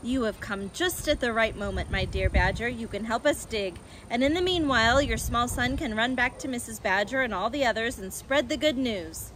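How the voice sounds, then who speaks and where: A woman's voice: a little high, normal speed, few pauses, background noise, lightly acted. A woman voicing a crafty, generous male fox father in a children's story, speaking in an assured, courteous, persuasive way. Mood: sly, confident.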